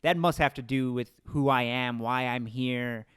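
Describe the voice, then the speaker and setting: A man's voice: mid-range voice, steady tone, measured pace, a bit bright, clear, resonant tone, dry recording, studio quality. An American man in his thirties interviewing someone casually, informal and emphatic, often seeking agreement with "right?" and "you know". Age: 30s. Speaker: interviewer, host.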